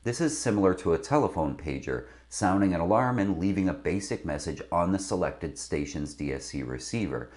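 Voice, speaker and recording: Very deep-voiced middle-aged man. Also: expressive, a little fast, hardly any pauses, darkish tone. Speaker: sailing instructor and video host. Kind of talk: scripted instructional narration to camera. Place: indoor studio with branded backdrop. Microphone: lapel mic.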